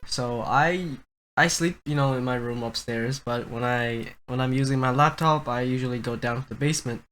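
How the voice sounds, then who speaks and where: Young man, medium pitch, casual podcast host, halting and rambling storyteller. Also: fairly bright tone, a little hiss or hum.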